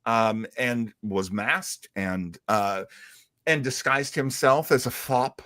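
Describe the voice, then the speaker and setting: A man's voice: medium pitch, slow pace, fairly bright tone, no echo, clean studio sound. A man hosting a casual live talk show, speaking in an enthusiastic, rambling way with hesitant phrasing. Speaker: host of a livestream about classic radio and comics. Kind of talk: casual live chat commentary.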